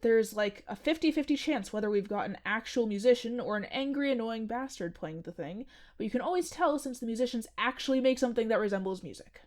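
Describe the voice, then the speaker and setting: Brief: fairly high young woman, actress as an unfazed oddball janitor, deadpan-breezy. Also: brisk, close to an everyday voice. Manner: breezy, matter-of-fact, teasing. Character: odd, cheerfully nerdy young woman coworker, unbothered by ghosts. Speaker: restaurant janitor. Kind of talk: comedic audio drama acting.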